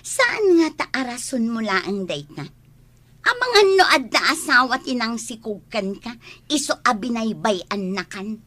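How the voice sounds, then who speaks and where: A scolding, sarcastic Filipino woman in a radio drama. Her high, sharp voice swings widely as she nags. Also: sing-song, a little slow, heavily acted. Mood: scornful. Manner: nagging, sarcastic. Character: scolding, scornful elder sister or housewife. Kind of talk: Ilocano radio comedy-drama acting.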